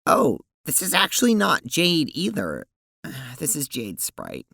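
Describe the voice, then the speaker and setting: Woman acting a wildly expressive, creaky-voiced anguished girl. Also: a bass voice, no room sound, studio quality, exaggerated voice. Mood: confused, hesitant. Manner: hesitant, halting, emotional. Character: anguished teenage girl turned dog-like sprite, blunt and fretful. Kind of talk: audio drama acting.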